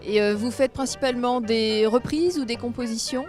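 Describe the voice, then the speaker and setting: A woman's voice: fairly high pitch, moderate pace, a little mellow, soft background music. A woman hosting a local programme, interviewing people on location as a reporter. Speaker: reporter and show host.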